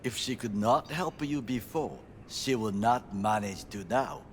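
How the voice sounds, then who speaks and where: An actor playing a grave, proud middle-aged Japanese ex-bodyguard in a video game, speaking formal, clipped English with a Japanese accent. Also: male voice, lowish voice, unhurried, slight background noise, lightly acted. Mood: stern, guarded. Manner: formal, clipped, stern phrasing. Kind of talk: video game dialogue acting.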